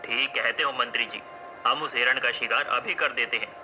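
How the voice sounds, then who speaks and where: A man's voice: medium pitch, fairly even intonation, normal speed, over music, lightly acted. A man playing a proud king in a cartoon folk tale, with formal, regal phrasing. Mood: commanding. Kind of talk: cartoon story acting.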